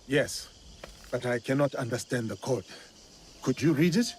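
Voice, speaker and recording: An actor playing a thoughtful, honorable samurai in a video game, with a formal, understated way of talking. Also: male voice, medium pitch, moderate pace, a little hiss or hum, natural voice. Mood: earnest. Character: honorable, imposing male samurai, thoughtful and reserved. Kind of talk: video game cutscene acting.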